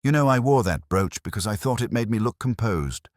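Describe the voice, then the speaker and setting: A man's voice: lowish voice, lively intonation, a little fast, dry recording, studio quality, pitched above the performer's own voice, natural voice. A man voicing a composed, wistful storybook woman in a polite, reflective, understated way. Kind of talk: storybook character dialogue.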